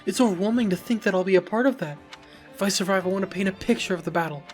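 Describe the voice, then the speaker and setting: A man playing an earnest, gentle young man in a fantasy game, speaking in a soft, reflective manner. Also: high voice, rapid-fire, soft background music, lightly acted. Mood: earnest, awed.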